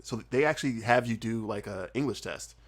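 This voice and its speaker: A young man with a faint Southern US accent, telling stories as a podcast guest, animated and laughing often, full of "like" and "uh". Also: mid-range voice, brisk. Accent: Southern US, mostly hidden. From southern United States. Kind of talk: casual storytelling chat.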